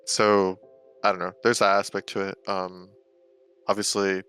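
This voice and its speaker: A young American man with a low, gravelly voice that still comes across bright and sharp. He chats casually with lots of fillers and restarts. Faint music plays behind him. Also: level tone, a little slow. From United States. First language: Romanian. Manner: filler-heavy, loose and rambling. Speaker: guest, professional GeoGuessr player. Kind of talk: casual chat.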